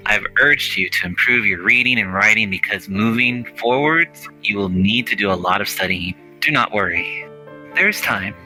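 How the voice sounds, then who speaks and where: Man voicing a forceful, low-pitched scholarly mentor. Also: soft background music, low-quality recording. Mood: earnest, reassuring. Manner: measured, formal, reassuring. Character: older male scholarly mentor, patient and earnest shop master. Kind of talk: reading visual novel dialogue in character.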